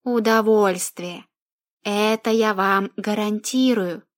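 A woman voicing a cold, aloof man of power in an audiobook, speaking in a detached, unhurried way. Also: medium pitch, fairly even intonation, lightly acted. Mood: cool.

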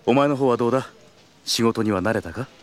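A young man voicing a strict but caring senior colleague who speaks in a brisk, composed, mentoring way in an audio drama. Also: mid-range voice, close to an everyday voice. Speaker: senior tour conductor.

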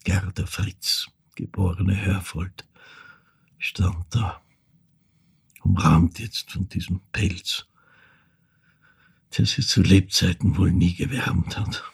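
Husky, brooding old son. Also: male voice, low-pitched, fairly even intonation, heavily acted. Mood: wistful, mournful. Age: older. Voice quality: husky, gravelly, breathy. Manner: halting, hushed, reflective storytelling in short phrases. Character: brooding elderly son recalling his dead mother. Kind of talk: radio drama monologue.

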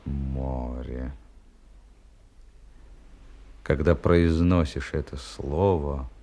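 An actor playing a courteous, calculating, gruff sea captain in an audio drama, speaking formally with weighty, deliberate pauses. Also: male voice, very deep voice, mellow, faint music behind, heavily acted. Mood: courteous, measured.

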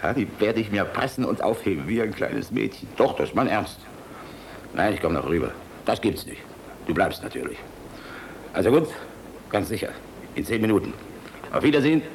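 An older man playing a gruff, fatherly, world-weary army general in a German radio play, blustery and philosophizing, shifting between barking and tenderness. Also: lowish voice, very animated, moderate pace, long pauses, a little hiss or hum, low-quality recording. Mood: casual, teasing.

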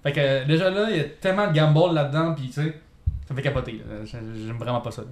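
A man's voice: a little high, fast talker, roomy sound. A man with a Québécois accent chatting casually on a podcast, rambling with lots of restarts. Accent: Québécois French. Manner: rambling, many restarts and fillers. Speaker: podcast co-host. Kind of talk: casual chat.

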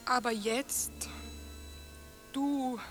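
An actress playing a grieving, reproachful woman in a radio play, speaking haltingly and breathily. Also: high voice, fairly even intonation, a bit bright, faint music behind, heavily acted. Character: bitter, grieving woman reproaching her dead man.

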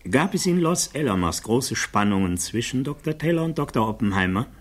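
An actor playing a formal, precise attorney who questions a witness in a German radio play. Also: male voice, mid-range voice, sing-song, a little fast. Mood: formal, probing. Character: probing male defense attorney questioning a witness.

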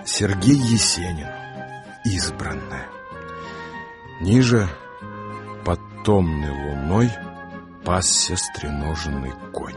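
A man's voice: deep voice, expressive, takes it slowly, long pauses. A man narrating a story for a radio play in a dramatic, wry, colloquial way. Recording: music bed behind the voice.

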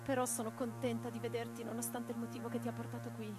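A woman's voice: fairly high pitch, flat delivery, fast, loud music behind the voice, lightly acted. An actress playing an impassioned middle-aged socialist revolutionary woman in a theatrical radio drama. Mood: grave. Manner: declamatory in speeches, intimate in private moments.

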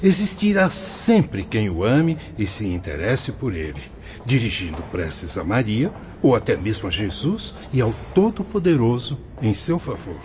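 A man acting as a wise, fatherly spirit guide in a radio drama, patient and solemn, explaining in formal, measured phrases. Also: fairly low pitch, big pitch swings, noisy background. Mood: solemn, explanatory. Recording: dull lo-fi audio with music behind.

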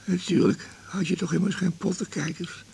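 Radio drama: stubborn, life-weary man of eighty, heavily gravelly, raspy, halting and repetitive. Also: high voice, steady tone, dark tone, full character voice. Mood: wistful, reminiscing. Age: 80s. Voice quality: gravelly, husky. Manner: halting, stammering, repeating words. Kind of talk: naturalistic radio drama acting.

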